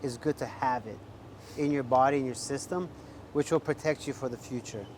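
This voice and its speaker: A man's voice: mid-range voice, fairly quick, a little mellow, a little hiss or hum. A man interviewing a guest, asking blunt questions and giving advice in a casual way.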